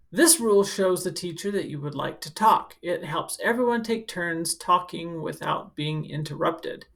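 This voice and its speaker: Female school counselor, lowish pitch with heavy creak, reading a children's book with chatty explanations. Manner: instructive, speaking directly to children. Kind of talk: picture book read-aloud with informal asides to children.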